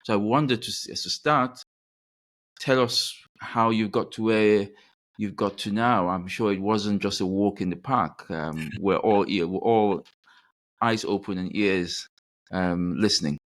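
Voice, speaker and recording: A man's voice: fairly low pitch, unhurried. A man from Africa hosting a podcast interview, earnest and encouraging, often repeating words. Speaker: podcast host. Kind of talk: interview conversation.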